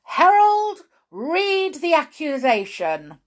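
A woman puts on an imperious storybook king sitting as judge. He is loud and blustering, handing out orders with impatient authority. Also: sits high, huge pitch swings, slow, voice pushed up, full character voice. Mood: commanding. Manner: commanding, blustering.

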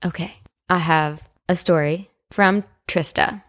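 A woman's voice: on the low side, fairly even intonation, slow pace. A woman co-hosting a podcast, chatting casually and reading listener stories aloud. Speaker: podcast co-host.

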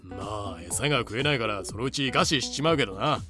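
A man's voice: on the low side, animated, a little fast, over music, lightly acted. A man voicing a confident, matter-of-fact cockroach, explaining in casual masculine phrasing for a fan-made voice drama. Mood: cool, matter-of-fact.

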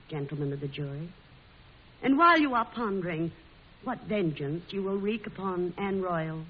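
An actress playing a defiant, fearless newspaper publisher in an old-time radio drama, with emphatic delivery. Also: female voice, fairly low pitch. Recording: hissy, muffled old radio broadcast recording.

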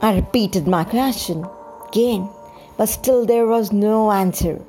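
A woman reading a scripted first-person story in a deliberate, dramatized storytelling manner. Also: mid-range voice, warm tone, soft background music. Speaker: storyteller, podcast host.

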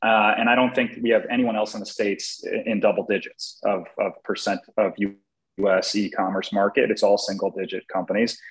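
Low-voiced man discussing business. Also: little pitch movement, brisk, poor audio. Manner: thoughtful, hesitant with fillers.

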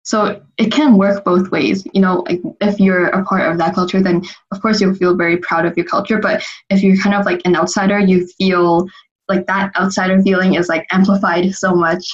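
Flat-voiced woman, muffled lo-fi sound. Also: mid-range voice, moderate pace. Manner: relaxed, frequent "like" fillers. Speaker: interviewer. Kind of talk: casual interview chat. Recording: muffled lo-fi audio.

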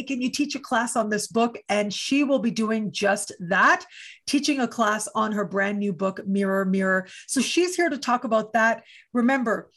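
A woman's voice: medium pitch, vocal fry. A woman hosting an online interview show, welcoming her guest in an effusive, enthusiastic way. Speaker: host.

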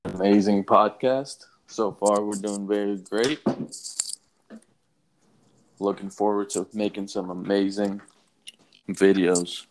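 Young man, from Afghanistan, podcast co-host, very deep voice, flat delivery. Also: slow pace, pauses often, noisy background. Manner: even, easygoing. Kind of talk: casual podcast chat.

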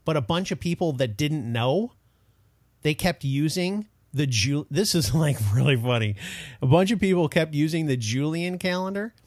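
A young man from Detroit hosting a casual podcast chat, excitable and laughing as he tells stories. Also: fairly high pitch, normal speed, no echo, clean studio sound. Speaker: podcast host.

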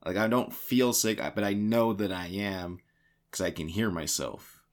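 A man co-hosting a casual podcast from a home game room, with a slightly raspy voice recovering from being lost, rambling and repeating words in a jokey way. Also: fairly low pitch, normal speed. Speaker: podcast co-host introducing the show.

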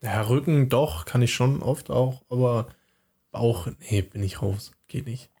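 A man's voice: mid-range voice, a little slow, dark tone, slightly rough. Laid-back hesitant man. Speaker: podcast co-host. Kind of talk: casual chat.

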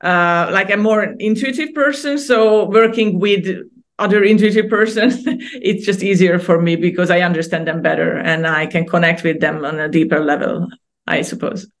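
A woman's voice: lowish voice, steady tone, normal speed. A middle-aged woman from Hungary, a podcast guest who chats, laughs often and restarts her sentences. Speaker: guest artist. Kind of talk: casual interview conversation.

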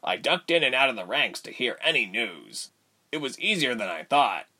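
A male reader plays a devoted man held prisoner in an adventure story. He talks quietly and earnestly, in a mid-range voice with expressive rises and falls. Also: mid-range voice, normal speed, exaggerated voice. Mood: explaining. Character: devoted male captive, quiet, thoughtful, teasing.

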